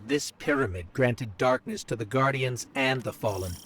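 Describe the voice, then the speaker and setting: A man's voice: medium pitch, normal speed, background noise, close to an everyday voice. A man playing a distrustful military commander in a video game, speaking with firm authority. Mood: wary, stern. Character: wary, stern male military commander. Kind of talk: scripted video game mission dialogue.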